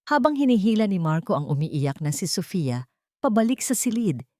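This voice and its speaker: A woman's voice: medium pitch, very animated, moderate pace, dark tone, dry recording, clean studio sound. A woman narrating a scripted drama story in a dramatic audiobook style.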